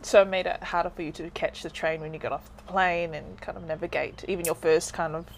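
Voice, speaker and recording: A woman's voice: fairly low pitch, fairly even intonation, fast talker, creaky, slight background noise. A woman interviewing a guest in a casual way, asking short questions and murmuring along. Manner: brief questions and frequent backchannel murmurs.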